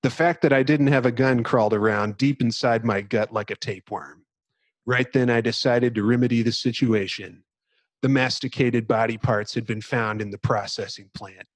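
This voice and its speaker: A man hosts a podcast with a mid-range voice and a very dry, close sound. He moves between casual chat and dramatic, measured reading. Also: mid-range voice, fairly even intonation, no room sound. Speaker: podcast host.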